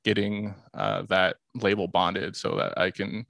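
Low, gravelly male guest with a halting, casual delivery. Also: little pitch movement, a bit bright, no room sound, clean studio sound. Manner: hesitant, repeats words. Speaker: assistant winemaker, podcast guest. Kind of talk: casual group conversation.

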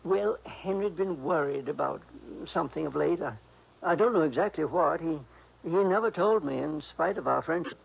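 A dull old radio recording of a sweet, eccentric old male composer. His voice sits rather high and has some creak. He rambles dreamily and hesitates as he goes, in 1960s radio drama style. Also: normal speed, heavily acted. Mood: wistful, rambling. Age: older. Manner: rambling, fluttery, hesitant, chuckling.

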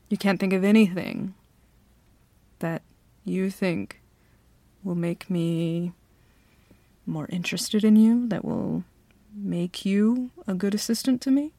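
A woman playing a slow, teasing bossy CEO. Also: fairly low pitch, gravelly, no echo, exaggerated voice. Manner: slow, confident, teasing, with soft laughs. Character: bossy, teasing female CEO interviewing an applicant. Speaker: ASMR roleplay performer. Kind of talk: flirty ASMR roleplay.

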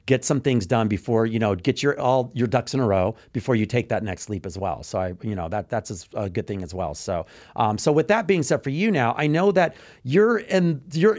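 A young adult man from Texas hosting a podcast, chatting quickly with many fillers and restarts. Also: mid-range voice. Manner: fast, animated, frequent fillers and restarts. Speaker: podcast host. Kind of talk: casual interview chat.

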